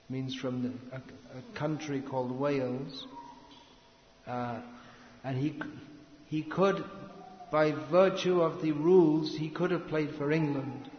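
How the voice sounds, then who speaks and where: Man lecturing slowly in a big reverberant hall, muffled lo-fi sound, halting delivery. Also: fairly high pitch. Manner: slow, halting, with pauses and restarts. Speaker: speaker giving a spiritual lecture. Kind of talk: slow philosophical lecture. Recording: echoey hall, lo-fi with faint noise.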